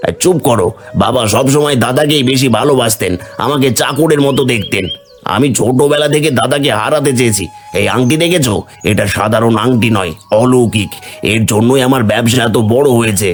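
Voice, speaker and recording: A man's voice: medium pitch, moderate pace, soft background music, natural voice. A man voicing an arrogant, resentful rich village chief in a cartoon fairy tale, haughty and dismissive. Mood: haughty, bitter.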